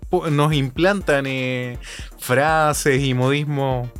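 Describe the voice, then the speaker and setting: A man with a Chilean accent, co-hosting a casual podcast in a relaxed, chatty way, laughing often and filling pauses with "eh". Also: mid-range voice, clean tone, soft background music. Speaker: podcast co-host.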